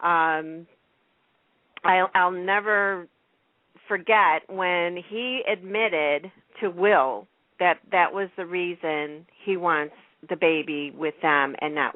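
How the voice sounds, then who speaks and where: A woman's voice: fairly low pitch, no room sound. A woman in casual conversation as the host of a radio talk show. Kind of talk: casual chat about a TV soap. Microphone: phone line. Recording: tinny phone-line sound.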